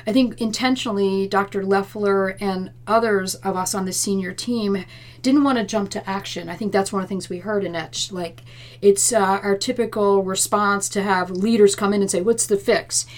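A woman's voice: mid-range voice, steady tone, moderate pace. A woman giving earnest, explanatory, conversational answers as an interview guest in a senior nursing leadership role. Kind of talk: conversational interview answers about workplace plans.

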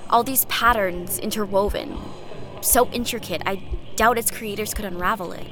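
An actress playing an earnest, defiant young daughter in an audio drama, speaking with intense, deliberate, poetic phrasing. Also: female voice, medium pitch, close to an everyday voice. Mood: wistful, intent. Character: earnest, defiant teenage daughter preparing for ritual role. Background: music under the voice.

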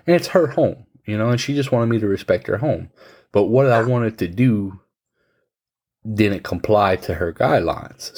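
A man in his thirties in casual conversation, giving frank, blunt advice and swearing now and then. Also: low voice. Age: 30s. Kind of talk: casual chat.

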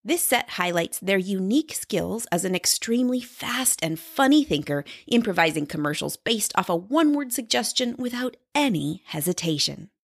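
A woman's voice: mid-range voice, expressive, normal speed, creaky, few pauses, breathy edge, dry recording, studio quality. A woman podcast host reading a scripted introduction to a show.

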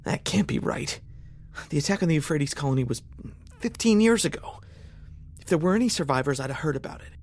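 A man playing an embattled, wry and excitable space fleet lieutenant in an audio drama, talking in a hesitant, urgent mutter. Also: fairly high pitch, a little fast. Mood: wary disbelief. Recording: loud engine-like ship ambience behind.

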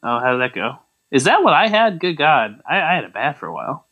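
A man's voice: a little high, very animated, brisk, lo-fi sound. A man co-hosting a podcast, riffing sardonically in casual comedic chat. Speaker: podcast co-host.

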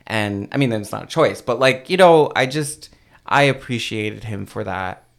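A man's voice: lowish voice, expressive, normal speed, vocal fry. A man, a podcast guest, telling a personal story in casual chat, with many fillers and restarts.

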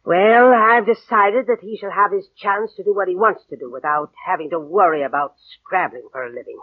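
An actress playing an imperious, spiteful older rich aunt with cutting, theatrical delivery in a mid-century radio play. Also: female voice, lowish voice, a little fast, breathy edge, dry recording, narrow, tinny audio. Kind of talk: 1940s-50s radio drama acting. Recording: band-limited old radio broadcast.

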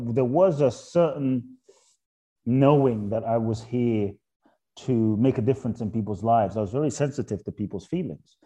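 A middle-aged man from Ghana, a guest author speaking in a thoughtful, halting way about spiritual ideas on a podcast. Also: lowish voice, dark tone, slightly rough. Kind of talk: reflective podcast conversation.

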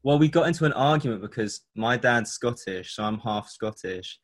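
A man interviewing a guest, asking questions in a relaxed, curious way. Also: medium pitch, normal speed. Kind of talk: casual interview chat.

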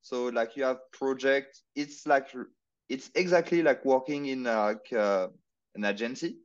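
A man's voice: medium pitch, measured pace, muffled recording. A man, a podcast guest speaking hesitantly and searching for his words. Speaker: podcast guest, marketing consultant. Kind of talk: casual interview chat.

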